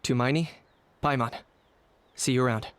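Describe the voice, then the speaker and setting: A man's voice: mid-range voice, steady tone, normal speed, noisy background, natural voice. A man playing a dependable adventurer in a video game, speaking in a terse, businesslike manner.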